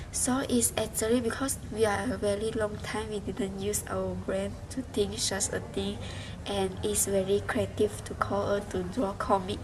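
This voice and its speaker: A young Malaysian woman, a university student, giving a halting spoken reflection with many restarts and fillers. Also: mid-range voice. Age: young adult. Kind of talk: spoken class reflection in a second language. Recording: noisy background hiss.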